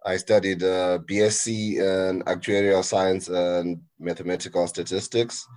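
A man's voice: low-pitched, flat delivery, measured pace, a little mellow. A man in his thirties with a Zimbabwean accent, chatting casually as an interview guest about himself. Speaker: podcast guest, actuary. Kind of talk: casual interview chat, recounting background.

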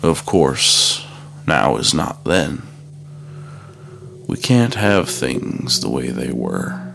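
A man doing a hushed, gravelly old stranger. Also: rumbling low, very animated, a little hiss or hum, voice pushed down, full character voice. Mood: musing. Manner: slow, hushed, drawn-out speech, dropping to a whisper.

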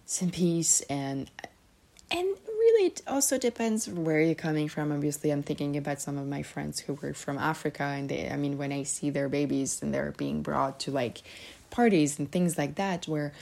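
A woman's voice: low-pitched, animated, moderate pace, creaky, darkish tone. A woman from Serbia hosting a solo podcast, talking casually and rambling a little, with frequent fillers and self-corrections. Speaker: podcast host.

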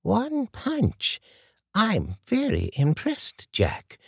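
A man voicing a calm, commanding older woman who speaks with measured politeness. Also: medium pitch, vocal fry, slightly rough, no room sound, clean studio sound, pitched above the performer's own voice, heavily acted. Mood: amused.

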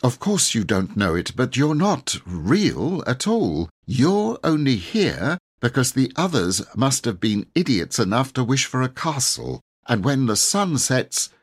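Guy doing a young boy from a storybook, flustered n eager, pitched up high, kinda husky, tripping over his words. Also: very animated, a little slow, hardly any pauses, full character voice. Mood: blurting. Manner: stumbling, self-correcting, polite schoolboy chatter.

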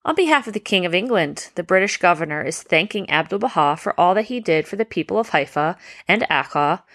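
A woman voicing a gentle, patient uncle who explains things in a bedtime story. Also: fairly low pitch, expressive, fairly quick. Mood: patient explaining. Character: gentle, patient uncle, a humble carriage driver.

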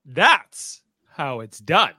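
Man playing a high, stern superhero leader. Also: very animated, extremely slow, pushed and bright, husky, dry recording, clean studio sound, full character voice. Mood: curt, smug. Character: stern, exasperated male superhero team leader. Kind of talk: live radio theatre read.

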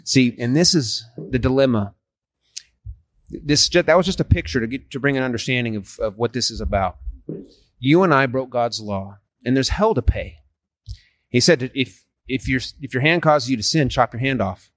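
A man's voice: mid-range voice, moderate pace. A man in his forties talks earnestly, working hard to persuade and often restarting his sentences. His voice sits in an ordinary middle range. The sound is dry, with no room echo. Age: 40s. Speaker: street evangelist. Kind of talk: casual street conversation.

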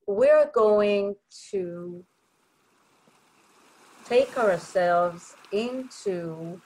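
A woman leading a guided meditation in a gentle, soothing way, leaving long pauses between short phrases. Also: fairly low pitch, slight background noise, poor audio.

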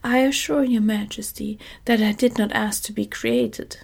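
A woman's voice: medium pitch, steady tone, normal speed, gravelly, husky. A woman whispering a simple pumpkin-headed man. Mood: earnest. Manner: soft whispered reading.

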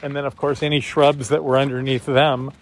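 A man being interviewed as a garden horticulture director, talking casually and haltingly as he thinks aloud. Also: medium pitch, level tone, a little hiss or hum.

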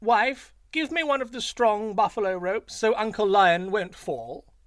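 A man voicing a sly, scheming male jackal who fakes concern in a high, fawning voice. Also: big pitch swings, moderate pace, no room sound, studio quality, heavily acted. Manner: high wheedling, mock-concerned shouts.

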